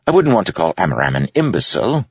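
A quick-tongued court jester pitched high and swooping, delivering cutting insults with theatrical relish, as a male narrator puts him on. The recording is dry and a little dull, with no room sound. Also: wildly swooping pitch, breathy edge, no room sound, full character voice. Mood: gleeful.

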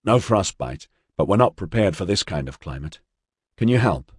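A man's voice: deep voice, expressive, moderate pace, slightly rough, dry recording, studio quality. A man voicing a laid-back, slangy, wry male adventurer in an audiobook. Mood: wry, relieved. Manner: casual, slangy.

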